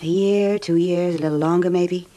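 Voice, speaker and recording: An actress as a low-voiced, yearning scheming wife. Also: female voice, steady tone, moderate pace, mellow, natural voice. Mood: cold, intimate. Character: scheming adulterous wife, tender, yearning and coolly calculating. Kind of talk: old-time radio melodrama acting.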